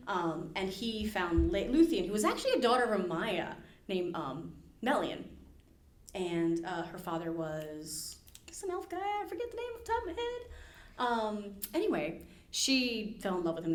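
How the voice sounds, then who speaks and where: An American woman hosts a podcast in a roomy, echoing space. Her voice sits at a medium pitch. She talks casually and animatedly, telling stories with slang and playful humor. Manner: animated, slangy, jokey retelling with acted-out quotes. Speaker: podcast host. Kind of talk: casual podcast chat and storytelling. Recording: roomy, echoey indoor sound.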